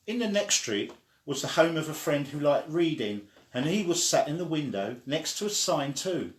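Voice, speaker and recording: A man's voice: on the high side, very animated, normal speed. Man reading a children's story, echoey room. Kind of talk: children's story read aloud.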